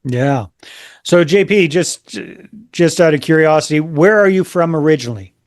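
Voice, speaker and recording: A man's voice: on the high side, animated, normal speed. Male podcast host, brief and affirming. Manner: brief affirmations, leading questions. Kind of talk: podcast interview.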